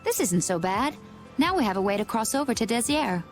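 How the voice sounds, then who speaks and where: A woman's voice: on the high side, sing-song, fairly quick, faint music behind. A woman playing an optimistic young female adventurer in a video game. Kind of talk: video game dub acting.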